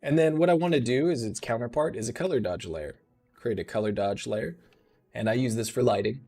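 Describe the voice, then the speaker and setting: A man in his thirties hosting a live software tutorial, thinking aloud in a relaxed way, his voice a little rough. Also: on the low side. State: slightly rough voice. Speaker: host.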